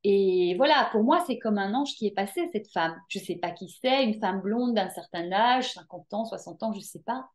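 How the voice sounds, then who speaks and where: Woman, guest coach; lively, emphatic, casual with hesitant fillers. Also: medium pitch, normal speed, few pauses. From Belgium. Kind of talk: casual interview chat.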